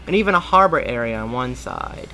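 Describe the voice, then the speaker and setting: Guy reading fan fiction out loud, bright voice, steady pace, trips over words sometimes. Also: medium pitch, brisk, poor audio. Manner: steady, with small slips and restarts. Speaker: story reader.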